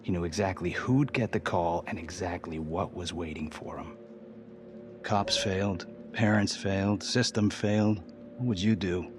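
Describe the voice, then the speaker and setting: An actor playing an intense, manipulative death-row prisoner in a crime game, speaking earnestly and persuasively. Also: male voice, low-pitched, normal speed, background noise, close to an everyday voice. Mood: bitter, confiding. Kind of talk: cinematic crime game voice acting.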